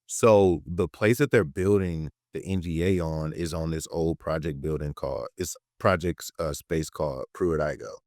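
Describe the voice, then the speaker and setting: Male podcast guest, late teens, deep voice, dry close sound, informal emphatic storyteller. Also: moderate pace, few pauses, darkish tone, dry recording. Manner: informal, slangy, emphatic repetition. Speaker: podcast guest, political educator and content creator. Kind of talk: casual conversational storytelling.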